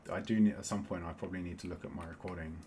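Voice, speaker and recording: A man's voice: low voice, fairly even intonation, rapid-fire, dark tone, a little hiss or hum. A man with a South London accent chatting casually as he plays a game, rambling and often laughing. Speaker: Minecraft let's-play host. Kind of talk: casual chat while playing.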